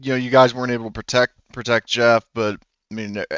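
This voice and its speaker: A man's voice: medium pitch, flat delivery, quick pace, a bit bright, smooth, dry recording, dull audio. A man running a tabletop roleplaying game as game master, chatting casually in a rambling, conversational way.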